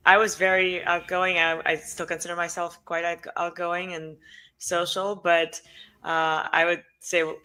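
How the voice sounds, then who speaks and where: 带俄罗斯口音的中年女性嘉宾，声音明亮清晰. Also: female voice, fairly low pitch, flat delivery, measured pace, pushed and bright, clear, resonant tone, low-quality recording. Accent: Russian. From Russia. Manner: hesitant, many fillers. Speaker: guest. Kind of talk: casual interview chat.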